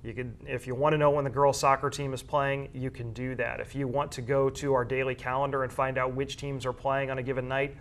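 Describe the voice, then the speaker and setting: A man's voice: mid-range voice, little pitch movement, fairly quick, few pauses, fairly bright tone, slight background noise. A man speaking as an interview guest, explaining school sports media work in a conversational way and often rephrasing his points. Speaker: guest, high school athletics media coordinator and broadcaster.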